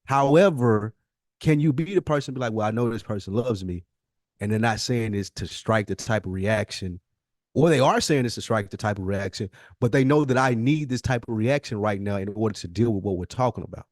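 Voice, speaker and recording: A man's voice: on the low side, brisk, a little mellow, no echo, studio quality. A man co-hosting a podcast in casual conversation, talking in an earnest, slangy way and often posing rhetorical questions. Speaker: podcast co-host.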